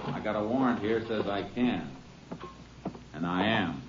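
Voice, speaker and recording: A man playing a calm, methodical Texas Ranger in a 1950s western radio drama, speaking in clipped, matter-of-fact lines. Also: lowish voice, a little slow. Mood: firm, unhurried. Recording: dull, noisy old radio recording.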